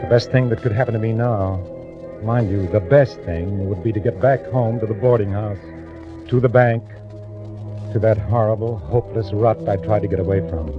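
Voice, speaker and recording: A man's voice: fairly low pitch, moderate pace, close to an everyday voice. An actor playing a lonely, meek male bank clerk with a nervous, bitter edge, in 1940s radio drama style. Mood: bitter, despairing. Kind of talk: 1940s radio acting, first-person dramatic narration. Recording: dull vintage radio recording. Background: dramatic music.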